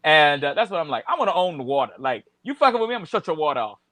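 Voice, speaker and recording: A talkative man with a Southern US accent, co-hosting a relaxed chat about celebrity gossip. His voice sits high and bright, with lots of restarts and fillers, and the sound is dry and close with no room echo. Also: very fast. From American South. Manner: chatty, many fillers and restarts. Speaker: podcast co-host.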